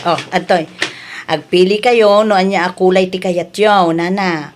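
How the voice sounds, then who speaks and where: A woman's voice: lowish voice, steady tone, measured pace, natural voice. A woman playing a shrewd, penny-pinching wife who runs a small village store in an Ilocano comedy radio drama, with an Ilocano Filipino accent. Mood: sales chatter.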